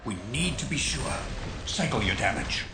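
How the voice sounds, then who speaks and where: An actor voicing a calm, methodical tech genius of a rebel crew in a video game, speaking in a measured, analytical way. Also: male voice, mid-range voice, lightly acted. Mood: calm, focused. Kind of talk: video game character acting. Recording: echoing voice under loud music.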